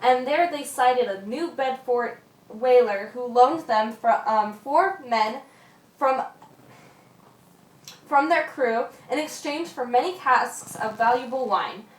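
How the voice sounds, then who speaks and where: A woman plays an old seafarer narrating a tale for a radio play. The high voice moves in measured phrases with an occasional stumble. It is picked up from a distance in an echoey room. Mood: storytelling, measured. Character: old seafarer spinning a yarn to young listeners. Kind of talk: radio drama storytelling narration.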